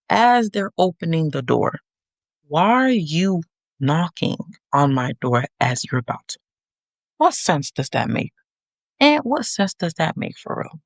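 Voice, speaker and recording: Sassy young woman with a gravelly voice. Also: sits low, dry recording. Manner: sassy, animated, teasing asides to the audience. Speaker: podcast host. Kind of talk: casual monologue to listeners.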